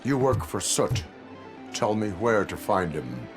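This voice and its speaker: An actor playing a stern male cyborg police officer in a video game, speaking in clipped, monotone interrogations. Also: on the low side, slow pace, music in the background. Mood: cold. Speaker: cyborg cop protagonist.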